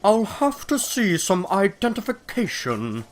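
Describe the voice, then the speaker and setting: A man's voice: high voice, wildly swooping pitch, unhurried, heavily acted. A man playing a brusque, dismissive businessman in a comic-book reading.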